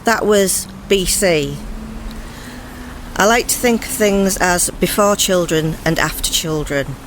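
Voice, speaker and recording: A woman's voice: lowish voice, takes it slowly, slight background noise, rough recording. A woman casually reminiscing about the past.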